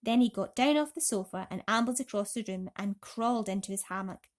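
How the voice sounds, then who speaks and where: A woman's voice: mid-range voice, fairly quick. Woman reading a children's bedtime story.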